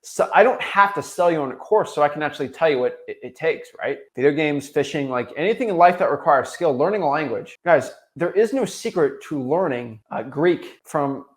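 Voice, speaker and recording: A man's voice: fairly high pitch, expressive, a little fast, hardly any pauses. A young American man giving an unscripted motivational talk as a coach, emphatic and repetitive, with informal slangy phrasing. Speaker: online business coach.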